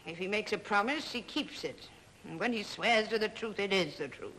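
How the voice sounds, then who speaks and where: An actress playing an imperious, dying old Roman matriarch in a haughty, commanding manner, in 1930s film style. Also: female voice, medium pitch, expressive, moderate pace, fairly bright tone, low-quality recording. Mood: stern command. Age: older. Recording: vintage 1930s film soundtrack.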